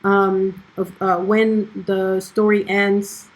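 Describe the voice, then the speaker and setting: A woman's voice: mid-range voice, little pitch movement, takes it slowly, rough recording. A woman casually walking through her dubbing work on screen, hesitant and full of ums and restarts. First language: not Icelandic. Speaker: dubbing producer. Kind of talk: casual screen-share walkthrough.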